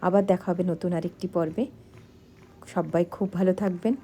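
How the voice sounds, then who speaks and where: A woman narrating a travel vlog in voice-over, speaking in short, unhurried phrases. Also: on the low side, steady tone, dark tone, a little hiss or hum. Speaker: travel vlogger. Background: music.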